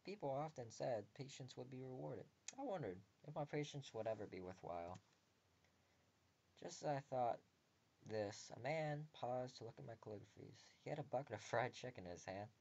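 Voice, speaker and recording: A man reading a visual novel aloud and breaking off for crude, joking asides, sometimes muttered quietly. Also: medium pitch, lively intonation, moderate pace, creaky, lo-fi sound.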